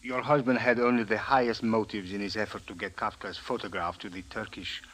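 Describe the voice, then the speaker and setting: An actor playing a courteous Cairo police official in a 1940s radio drama, formal and measured. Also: male voice, lowish voice, level tone, a bit bright, rough recording. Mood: grave sympathy.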